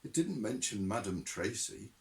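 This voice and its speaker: A man voicing a timid, nervous young man who speaks hesitantly and squeaks. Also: big pitch swings, normal speed, a little mellow.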